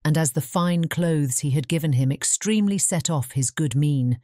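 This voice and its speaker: Storybook narrator: British woman, deep mellow voice, dry close recording. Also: normal speed, dry recording. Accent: British English. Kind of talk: scripted fairy-tale narration.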